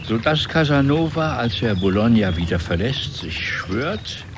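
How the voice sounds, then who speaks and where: A man narrating a radio drama in a literary, scripted style with deliberate phrasing. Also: mid-range voice, very animated, noisy background.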